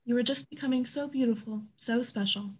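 Worldly, caring brothel madam, read by a woman, husky, slightly high voice, stage-play reading. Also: a little fast. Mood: caring, practical. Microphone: video call.

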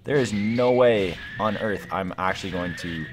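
A young adult man gives casual gameplay commentary, excitable and slangy as he thinks aloud. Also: fairly low pitch, normal speed, faint music behind. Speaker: gaming video host.

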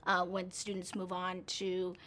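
A woman's voice: on the low side, monotone, unhurried, a bit bright, a little hiss or hum. A middle-aged woman school board member speaking in a composed, official way.

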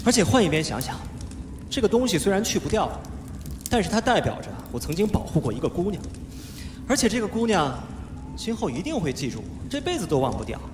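An actor playing a playful, cheeky young wuxia cultivator, chattering and teasing in live stage audio-drama style. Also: male voice, sits high, very animated, a little fast, noisy background. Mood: light-hearted, boastful. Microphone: handheld microphone. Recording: live stage, echoey hall, music behind.

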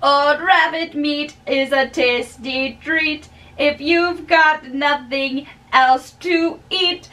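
High-voiced young woman reading drama slowly. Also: fairly bright tone. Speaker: amateur reader of a play. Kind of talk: dramatic reading, acting out dialogue. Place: small bedroom, indoors. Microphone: camera a few metres away. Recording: distant camera in a bedroom.

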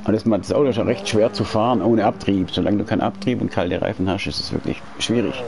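A man's voice: on the low side, fairly even intonation, fast, gravelly. A man in his late forties chatting casually while he streams a racing game from home, rambling and slangy with many restarts. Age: 40s. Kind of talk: casual chat while gaming. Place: indoors at home. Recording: racing game engine noise behind. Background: car engine sounds from the game.

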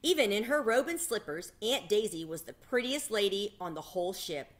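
A middle-aged woman reading a children's novel aloud with expressive, dramatic delivery. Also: medium pitch, normal speed. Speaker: read-aloud storyteller. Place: small home room with bookshelves.

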